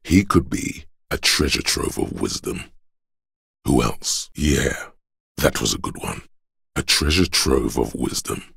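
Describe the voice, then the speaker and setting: A menacing, brooding inner voice from a video game, speaking from the very bottom of the male register. The delivery is slow and solemn, thick with gravelly creak. It coaxes and commands like dramatic narration, gruff and deliberate. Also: lively intonation, fairly bright tone, no room sound, clean studio sound, heavily acted. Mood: conspiratorial. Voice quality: very deep, gravelly, husky rasp. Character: gruff, menacing inner voice of a man's body, reactionary, coaxing.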